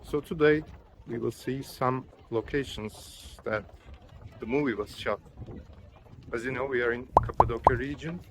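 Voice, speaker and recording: Turkish man, travel vlogger, casual and rambling, mid-pitched voice, outdoors on an onboard mic. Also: measured pace, noisy background. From Turkey. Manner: chatty, with fillers, loose phrasing. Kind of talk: casual travel vlog narration. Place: outdoors at an open-air museum. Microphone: built-in camera mic.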